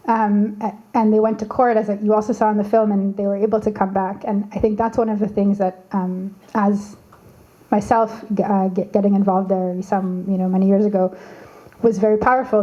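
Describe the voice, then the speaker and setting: A woman from Canada, probably in her thirties, answering as a panelist, thoughtful with many pauses and fillers. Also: medium pitch, mellow, muffled recording.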